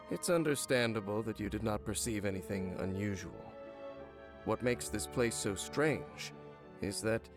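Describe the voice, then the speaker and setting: An actor playing a brooding, centuries-old cursed former guard captain in a video game, speaking in a formal, measured, solemn way. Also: male voice, fairly low pitch. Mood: cool, probing. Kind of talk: video game character dialogue. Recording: game audio with background music. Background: game music.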